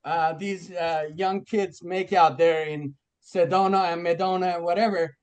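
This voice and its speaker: A man whose first language is Persian, hosting a video call and halting as he searches for English words. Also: high-pitched, fairly even intonation. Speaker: conference host. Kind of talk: casual video call chat.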